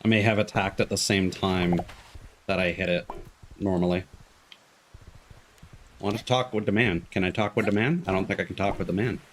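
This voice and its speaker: A man's voice: deep voice, steady tone, moderate pace, pauses often, a little hiss or hum. A man giving casual live commentary as he streams a video game. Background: game music.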